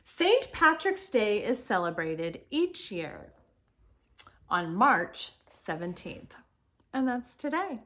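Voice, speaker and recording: A woman's voice: mid-range voice, very animated, takes it slowly, sharp tone. A woman teacher reading a picture book aloud to children, explaining things and speaking to her young listeners directly with casual asides.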